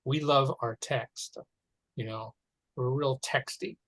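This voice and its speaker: A man making suggestions in an online meeting in a deliberate, halting way. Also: mid-range voice, fairly even intonation, fairly bright tone, poor audio. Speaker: meeting participant. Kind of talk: informal meeting discussion. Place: online conference call.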